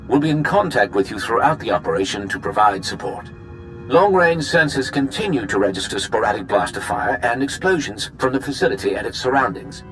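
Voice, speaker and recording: Measured sci-fi mission commander, expressive pitch. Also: male voice, soft background music, poor audio. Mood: grave, businesslike. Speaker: mission briefing officer. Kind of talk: video game cutscene acting.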